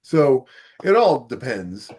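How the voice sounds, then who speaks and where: A man's voice: medium pitch, sing-song, slow, a little mellow, breathy edge. A man hosting a podcast in casual discussion, thinking aloud conversationally and often checking in with "you know". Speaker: podcast host.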